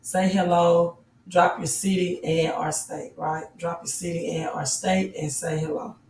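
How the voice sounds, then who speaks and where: Hoarse, raspy woman livestreaming. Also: on the low side, level tone, measured pace, a little mellow, a little hiss or hum. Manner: greets viewers, urges likes and subscribes. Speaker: crypto livestream host. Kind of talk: casual livestream chat. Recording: roomy, slightly distant mic.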